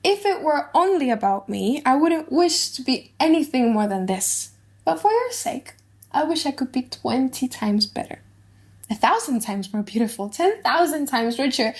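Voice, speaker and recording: A woman's voice: fairly high pitch, darkish tone. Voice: earnest young noblewoman in love played by a woman. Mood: earnest, tender. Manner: earnest, formal declarations. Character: devoted young noble heiress pledging herself in love. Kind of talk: dramatized audiobook of a classic play.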